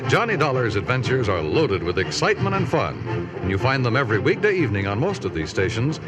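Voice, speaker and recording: A man's voice: mid-range voice, very animated, fairly quick. A man announcing a 1950s radio show from a script in a formal showman's delivery. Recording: 1950s radio broadcast recording. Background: loud music.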